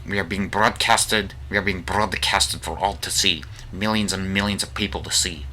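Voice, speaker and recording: A man putting on a Russian accent as a deadpan horse character, rambling and repeating himself in an improvised comic monologue. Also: deep voice, a little hiss or hum, low-quality recording. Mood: mock-boastful. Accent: put-on Russian. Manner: rambling, repetitive, mock-serious.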